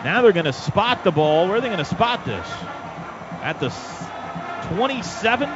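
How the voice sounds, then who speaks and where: A man's voice: sits high, big pitch swings, moderate pace, over music, a crowd in the background. A man with an American accent calling a live football game on radio as the play-by-play announcer. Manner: shouts during big plays. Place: stadium radio booth. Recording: dull lo-fi radio, loud stadium noise.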